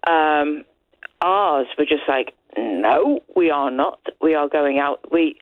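A woman, calling into a radio show and telling a lively story. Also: sits low, takes it slowly. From Liverpool. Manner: chatty, animated storyteller. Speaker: caller. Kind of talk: phone-in chat. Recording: muffled phone line.

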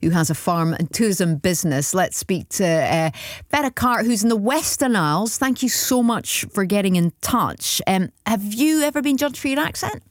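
A woman hosting a radio phone-in, brisk and friendly, thanking callers and moving on. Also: mid-range voice, animated, no room sound, clean studio sound. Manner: friendly, brisk, quick acknowledgments.

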